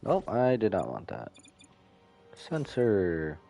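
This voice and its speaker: Man, game streamer, low pitch, lively swings, slangy chat, music behind. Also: slow, vocal fry. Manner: casual, slangy. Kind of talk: live playthrough commentary.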